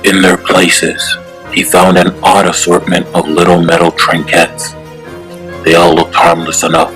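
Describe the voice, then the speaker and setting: A man performs a brooding masked detective of a superhero comic, pitched very low. He speaks in terse, deliberate, menacing phrases with little melody. Clear music plays behind him. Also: completely flat delivery, a bit bright, low-quality recording. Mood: grim, measured. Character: grim brooding male masked vigilante detective. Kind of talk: dramatic superhero comic acting.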